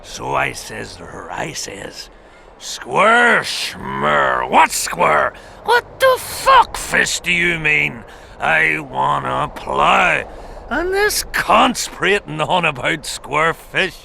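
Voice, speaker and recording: Slurred, bellowing drunken soldier. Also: male voice, fairly high pitch, huge pitch swings, drawn-out words, gravelly, sharp tone, slight background noise, full character voice. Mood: crude rambling. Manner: rambling, crude, bellowing. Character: drunken, foul-mouthed, rowdy soldier, boisterous and muddled. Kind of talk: video game voice acting.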